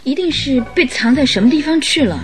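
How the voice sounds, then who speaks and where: A woman's voice: high-pitched, expressive, fairly quick, soft background music. An actress playing a polite, earnest young lady in a radio drama, answering carefully and a little hesitantly. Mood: polite, cooperative.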